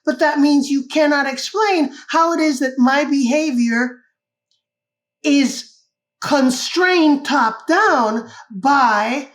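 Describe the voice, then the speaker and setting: Older woman from cuba, high pitched, explaining stuff in an interview, keeps asking "correct?". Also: slow pace. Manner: thoughtful, checks in with "correct?". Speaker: guest author.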